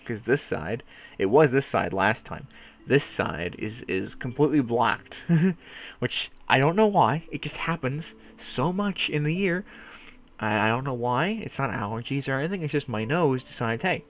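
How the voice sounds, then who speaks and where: A man's voice: medium pitch, lively intonation, moderate pace, slight background noise. A man with a nasal voice rambling casually and playfully to his viewers, swearing now and then. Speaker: streamer talking to viewers. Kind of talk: casual rambling chat to camera.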